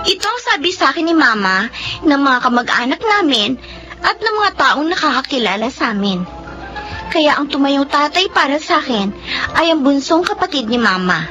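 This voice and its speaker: A woman's voice: sits high, sing-song, moderate pace, sharp tone, soft background music, exaggerated voice. A woman playing an eager young Filipina daughter in a Tagalog radio drama. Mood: reflective. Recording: dull lo-fi radio drama audio.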